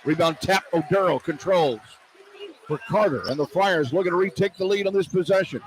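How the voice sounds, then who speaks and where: Higher-voiced male basketball play-by-play announcer, noisy arena. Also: a little fast. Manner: clipped running description of the action. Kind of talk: live basketball play-by-play commentary. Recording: noisy live arena broadcast. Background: arena crowd ambience.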